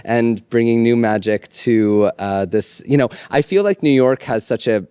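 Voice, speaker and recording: Smooth-voiced, easygoing man, dry sound. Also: medium pitch, normal speed, mellow, dry recording. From New Jersey. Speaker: show producer. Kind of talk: casual interview chat.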